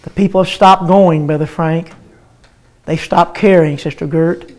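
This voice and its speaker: An older pastor preaching a sermon in a chanted, sing-song style, breaking into drawn-out cries and calling out to his listeners by name, with many halting filler sounds between phrases. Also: a little high, fairly even intonation.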